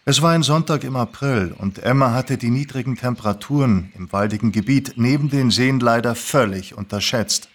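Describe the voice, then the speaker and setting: Male narrator, medium pitch, scripted live story reading. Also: normal speed, hardly any pauses. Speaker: narrator, actor. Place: small theatre, live recording.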